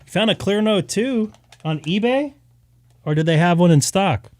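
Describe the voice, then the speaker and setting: Man hosting his radio stream, smooth voice, dry close sound, worn out and rambling. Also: high-pitched, lively intonation, measured pace, darkish tone, no room sound. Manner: tired, loose, chatty with many fillers. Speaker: ham radio live streamer. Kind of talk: casual live stream chat.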